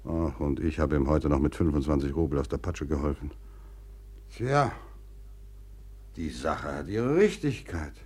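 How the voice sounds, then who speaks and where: An actor in a 1950s German radio drama playing a worldly, self-assured older gentleman, fatherly and emphatic in his declamatory delivery. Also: rumbling low, expressive, a little slow, pauses often, slightly rough, heavily acted. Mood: impressed, congratulating.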